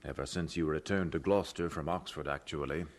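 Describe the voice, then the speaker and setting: A man playing a dignified elderly bishop in an audio drama, speaking formally and deliberately with benevolent authority. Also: extremely low pitch. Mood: stately approval.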